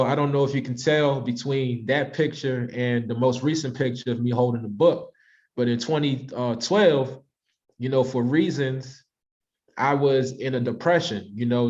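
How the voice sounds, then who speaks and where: A man's voice: mid-range voice, fairly even intonation, moderate pace, darkish tone. An American man in his thirties giving an informal, conversational and reflective presentation to an online audience. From Washington, D.C., United States. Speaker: author and presenter hosting a virtual book club. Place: online video call. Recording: slightly dull, lo-fi online call audio.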